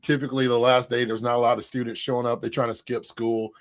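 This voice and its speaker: A man's voice: medium pitch, little pitch movement, fast talker. A man co-hosting an online HR event over a video call, in an upbeat, joking, casual manner with frequent fillers. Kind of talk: casual livestream chat.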